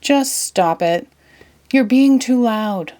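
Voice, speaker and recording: A woman's voice: on the high side, expressive, mellow, voice pushed up. A woman voicing an irritable, snarling male cartoon squid neighbour in a storybook. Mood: annoyed. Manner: snarling, drawn-out, annoyed.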